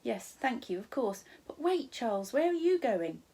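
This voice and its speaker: A woman's voice: on the high side, big pitch swings, normal speed, mellow, pitched above the performer's own voice. A woman voicing an anxious, earnest young girl in a children's storybook, hesitant and full of questions. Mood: anxious, unsure. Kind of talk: children's storybook read aloud.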